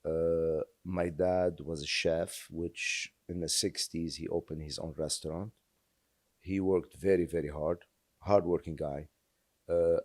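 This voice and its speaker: Deep-voiced man in his sixties. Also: darkish tone, no room sound, clean studio sound. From Lebanon. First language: French. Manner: earnest, halting with many fillers. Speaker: guest. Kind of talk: reflective interview storytelling.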